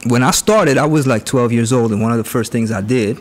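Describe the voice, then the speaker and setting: A man's voice: mid-range voice, a little fast. A man from Aruba hosting a podcast, talking in a laid-back, slangy way and often repeating words as he starts sentences. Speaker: podcast host. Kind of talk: casual interview chat.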